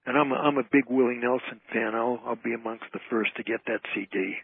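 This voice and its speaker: A man's voice: medium pitch, quick pace, creaky, breathy edge. An older man, a radio guest, chatting casually and repeating phrases for emphasis over a phone. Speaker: guest, entertainer and author. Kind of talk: casual interview chat. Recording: dry tinny phone line.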